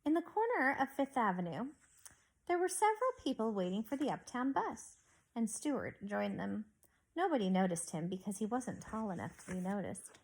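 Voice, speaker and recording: A woman teacher reading a storybook aloud to young children in an expressive way, recorded at home. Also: on the high side, normal speed, low-quality recording. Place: on a couch at home.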